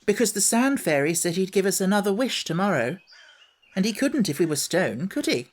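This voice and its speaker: A woman's voice: mid-range voice, very animated, brisk, pitched above the performer's own voice. A woman voicing a kind young boy in a children's storybook, reassuring and matter-of-fact. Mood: reasoning.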